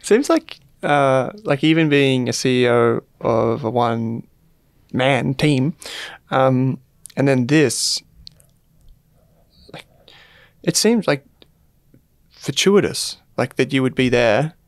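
A man's voice: medium pitch, slow pace, pauses often, dry recording. A man hosting a conversational podcast interview, feeling his way through rambling, tentative questions.

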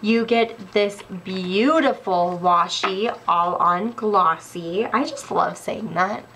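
A young woman with a slightly stuffy voice chats through her sticker haul, excited and gushing. She talks at a slow, easy pace. A faint room hum sits under her voice. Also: medium pitch, poor audio. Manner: gushing, excitable, laughs often. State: a little stuffy. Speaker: planner sticker vlogger. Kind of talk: casual haul chat. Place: indoors at a wooden table.

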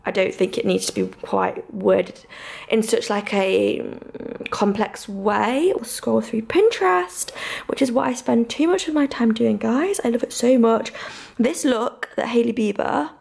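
A woman's voice: on the high side, a little slow, gravelly, darkish tone. A woman, chatting casually and informally to camera as a vlogger, with gushing enthusiasm. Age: 20s. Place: small flat, bedroom and kitchen, indoors.

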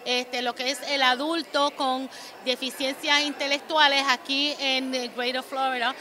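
A woman's voice: high-pitched, level tone, unhurried, pushed and bright. A woman representing an advocacy organization, explaining in a concerned way. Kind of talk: event interview. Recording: noticeable background noise.

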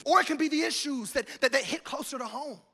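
A man in his forties preaching a sermon on a church stage with a handheld mic, swinging between shouted calls to the congregation and hushed, intimate talk. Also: very high voice, quick pace. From United States. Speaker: pastor. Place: large church stage with audience.

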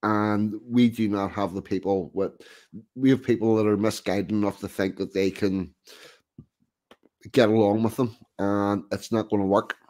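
A man's voice: lowish voice, steady tone, normal speed, a little mellow. A man hosting a casual online video call chat, talking bluntly and emphatically. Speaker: host. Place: indoor room.

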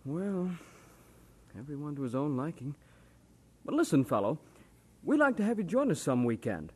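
An actor playing a friendly, sociable young classmate with a husky voice in an old-time radio drama. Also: male voice, a little high, sing-song, normal speed, darkish tone. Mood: friendly, persuasive.

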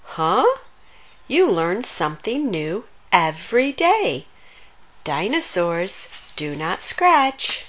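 A woman reading a children's picture book aloud in an expressive, deliberate way, acting out the dialogue. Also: lowish voice. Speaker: storybook reader.

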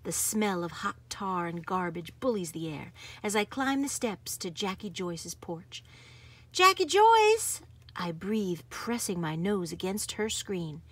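A woman reading a picture book aloud in an expressive, dramatic manner. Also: fairly low pitch, measured pace, hardly any pauses, slightly rough. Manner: animated, dramatic, varies from hushed to exuberant. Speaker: storybook reader.

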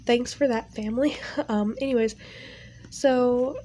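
A woman's voice: fairly high pitch, steady tone, measured pace, dark tone, slight background noise. A young woman with a stuffy, nasal voice talks casually over her art, rambling with many fillers. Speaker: art channel creator. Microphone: home recording setup.

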